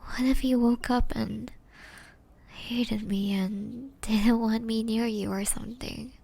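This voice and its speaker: Woman playing tender young ex-girlfriend, ASMR roleplay, soft breathy whisper, very slow pace, flat gentle intonation, remorseful, hesitant. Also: on the high side, exaggerated voice. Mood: shy, relieved.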